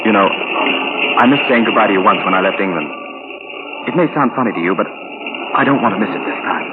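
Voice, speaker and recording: A young army captain played by a male radio actor, glib and self-deprecating. He talks fast with very lively pitch swings, clipped and flippant. It is 1940s radio drama on a very noisy old recording. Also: narrow, tinny audio, natural voice. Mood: rueful. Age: young adult.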